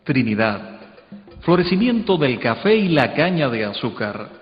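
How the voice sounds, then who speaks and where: A man's voice: mid-range voice, big pitch swings, measured pace. A man playing a solemn announcer in a radio docudrama, speaking in terse, declamatory phrases. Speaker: documentary narrator. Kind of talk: dramatized radio docudrama narration. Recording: muffled playback in echoey hall, music behind.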